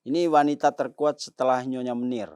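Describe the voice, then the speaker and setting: A man's voice: mid-range voice, steady tone, a little fast, a little mellow, clear, resonant tone, dry recording, studio quality. A man hosting a casual interview, teasing and joking with his guest. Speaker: podcast host.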